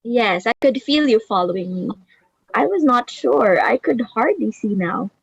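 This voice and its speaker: A woman playing a tired, aging ant who guides the young, in a slow, reflective dramatic reading. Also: a little high, lively intonation, vocal fry, husky, dry recording, muffled recording, full character voice. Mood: weary, troubled. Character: weary aging female ant, slowing down, guiding the young. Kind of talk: dramatic reading of a stage play.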